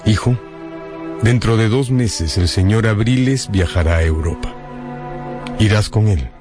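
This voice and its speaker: A man's voice: fairly low pitch, measured pace, over music, close to an everyday voice. An actor playing a grave, caring, anxious father in a radio drama. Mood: grave, concerned.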